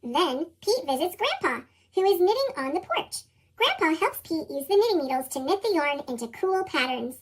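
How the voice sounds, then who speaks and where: A woman's voice: very animated, moderate pace. An American woman reading a children's storybook expressively, her voice processed into a chipmunk effect. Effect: sped-up chipmunk voice, pitched very high. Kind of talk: expressive scripted read-aloud narration for young children.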